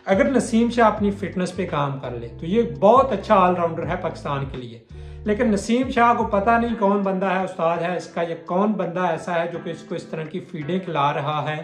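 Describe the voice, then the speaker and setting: A young man gives a blunt, mocking cricket analysis straight to camera in a small bare room. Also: high-pitched. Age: young adult. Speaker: cricket commentator vlogger. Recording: echoey room, faint music behind.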